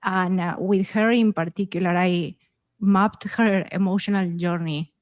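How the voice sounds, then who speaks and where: A woman's voice: lowish voice, steady tone, measured pace, dry recording. A woman speaking casually as an author being interviewed. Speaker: author and podcaster, interview guest.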